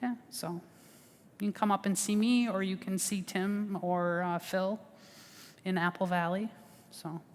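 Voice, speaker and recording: A woman's voice: mid-range voice, steady tone, unhurried, long reverb tail. A woman advising people on veterans benefits in a chatty, reassuring way, with lots of fillers and restarts. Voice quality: big voice. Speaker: veterans service officer. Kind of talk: informal advice talk.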